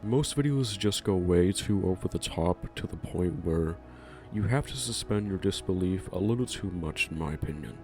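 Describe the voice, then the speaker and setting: Deep-voiced man narrating a countdown. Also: lively intonation, normal speed, darkish tone, faint music behind. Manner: enthusiastic, casual swearing. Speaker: video host. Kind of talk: scripted narration of a ranking video.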